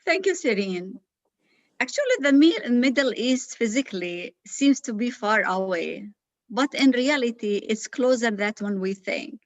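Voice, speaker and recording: A woman's voice: on the high side, animated, a little slow, no echo. Woman from Palestine, formal earnest presenter; dull lo-fi audio. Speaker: association president, presenter. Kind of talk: conference presentation.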